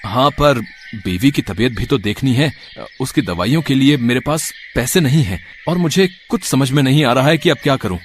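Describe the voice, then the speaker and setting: A man's voice: medium pitch, lively intonation, normal speed, slight background noise, close to an everyday voice. A man playing a poor, loving husband in a moral story, speaking earnestly and tenderly. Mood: worried. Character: loving, poor husband and worried auto driver. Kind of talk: acted dialogue in a Hindi moral story.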